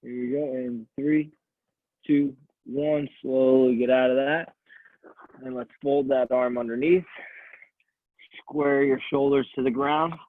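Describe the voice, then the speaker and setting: Calm male coach on tinny audio. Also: medium pitch, takes it slowly, long pauses, dry recording. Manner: calm coaching, counting down holds; soothing voice for stretching. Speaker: fitness coach. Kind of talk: guided stretching class. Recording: tinny, dry phone-like audio.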